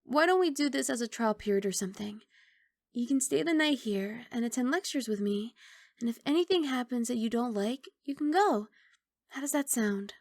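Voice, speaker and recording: A woman voicing a kindly young giant dragon girl in an ASMR roleplay, speaking in a soft, coaxing, reassuring and slightly bashful way. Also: fairly high pitch, animated, moderate pace, no echo, studio quality. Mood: shy, hopeful.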